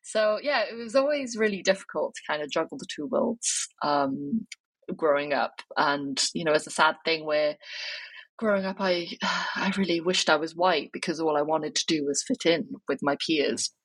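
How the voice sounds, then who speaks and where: A woman's voice: low-pitched, moderate pace, fairly bright tone, no echo, low-quality recording. A young woman from Wales, talking reflectively as an interview guest, with many fillers and restarts. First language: Cantonese.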